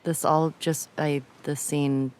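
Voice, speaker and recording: Woman, notably deep voice, unhurried, emotionally invested casual commentary. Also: level tone, darkish tone.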